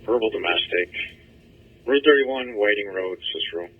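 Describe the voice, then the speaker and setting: A man's voice: lowish voice, lively intonation, moderate pace, slight background noise. A man working as a police dispatcher, giving procedural calls over a police radio. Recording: muffled, tinny police scanner radio feed.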